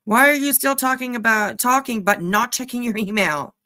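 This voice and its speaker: A woman's voice: on the high side, normal speed, pushed and bright, no room sound. Sarcastic woman, dry close recording. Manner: sarcastic, snarky, swearing. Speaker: reaction channel commentator. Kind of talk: casual mocking commentary over a played livestream clip.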